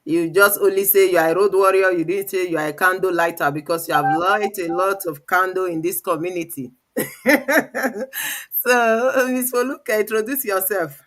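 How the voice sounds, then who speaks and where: Casting: female live-show host, bright forceful voice, mid pitch, chatty and informal. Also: moderate pace. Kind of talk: informal live webinar hosting.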